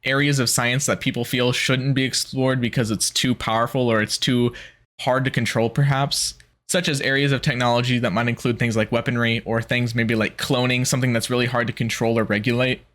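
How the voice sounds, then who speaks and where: Brief: young male reactor, casual musing, mid pitch. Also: little pitch movement, fairly quick, fairly bright tone. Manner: casual, musing, loose fillers. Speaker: reaction video host.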